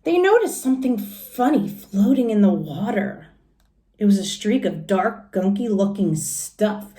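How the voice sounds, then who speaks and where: A woman's voice: medium pitch, lively intonation, unhurried, warm tone, roomy sound. A woman reading a children's storybook aloud like a teacher, adding simple explanations and questions for young listeners.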